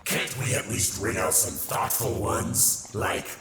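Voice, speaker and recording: A man's voice: low-pitched, lively intonation, measured pace, heavy background noise. A man playing a sly, hedonistic demon in an ASMR roleplay, theatrical and sardonic, mocking as he mentors. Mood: wry, scheming. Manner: theatrical, sardonic, grandiose wording. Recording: echoey reverberant sound, loud background ambience.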